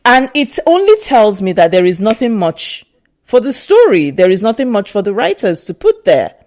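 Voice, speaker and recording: Nigerian-accented woman, vlogger, lively swooping pitch, emphatic and repetitive. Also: normal speed. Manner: emphatic and animated, repeats herself for stress. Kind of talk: casual opinion vlog.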